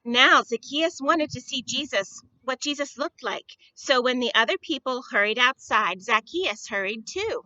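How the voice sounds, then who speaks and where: Woman, children's storyteller, slow deliberate delivery, expressive phrasing, slightly muffled dry recording. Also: sits high, dry recording. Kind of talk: read-aloud storytelling for young children.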